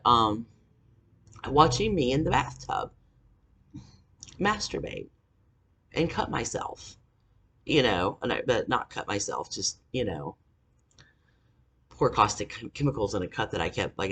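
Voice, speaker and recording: Husky low-voiced man in his forties. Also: animated, sharp tone. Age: 40s. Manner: halting, many fillers, angry and profane. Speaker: vlogger. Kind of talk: confessional storytelling to camera. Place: indoors, dim room.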